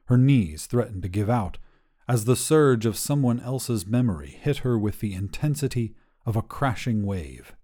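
A man narrating scripted literary fiction in a measured, dramatic storytelling manner. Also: medium pitch, animated, mellow. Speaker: narrator reading short fiction.

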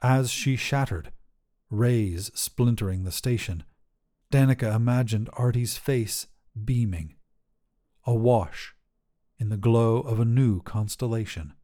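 A man narrates scripted fiction for a podcast. His voice sits at a middle pitch and has a mellow, relaxed tone. He reads as a storyteller and host. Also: slow pace.

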